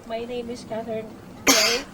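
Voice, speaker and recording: A woman from the Philippines, giving a soft-spoken, halting speech as a new citizen. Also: fairly high pitch, completely flat delivery. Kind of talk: short public speech. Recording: distant mic, background noise.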